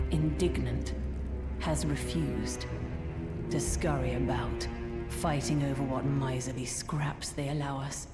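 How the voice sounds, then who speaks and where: An actress playing a poised, secretive middle-aged noblewoman who speaks in a formal, measured way in a dark fantasy game. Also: low voice. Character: poised, secretive noblewoman, steward of a heretical manor. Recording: roomy hall reverb under game music.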